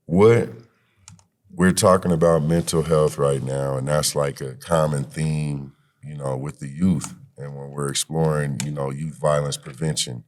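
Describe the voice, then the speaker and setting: A man's voice: a bass voice, steady tone, a little slow. A man speaking as a podcast guest and youth activist in a conversational, motivational way, encouraging and often saying "you know".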